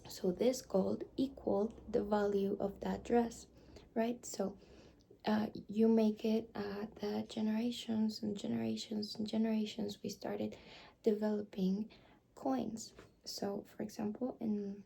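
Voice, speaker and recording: A young woman doing a whispered ASMR roleplay, speaking softly and hesitantly with many fillers. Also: medium pitch, flat delivery, a little slow, creaky, slight background noise. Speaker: ASMR creator.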